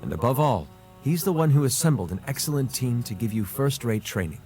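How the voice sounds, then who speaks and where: A man's voice: medium pitch, lively intonation, brisk, darkish tone, lightly acted. Man playing an eloquent noble prince, swooping delivery. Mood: informative, admiring. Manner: theatrical, refined. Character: eloquent, playful noble prince addressing students. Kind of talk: scripted game cutscene acting. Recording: music behind the voice.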